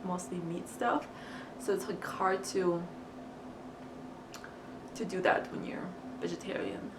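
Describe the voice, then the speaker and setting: A woman's voice: fairly low pitch, takes it slowly. A young woman from Crimea, a native Russian speaker, chatting casually while eating, with lots of fillers and giggles. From Crimea, Ukraine. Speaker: vlogger. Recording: echoey room, far mic, hiss.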